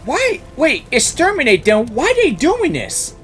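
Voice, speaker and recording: Lively-pitched, musing game reaction host. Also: measured pace, soft background music. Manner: hesitant, repetitive, musing. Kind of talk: casual off-the-cuff game reaction commentary.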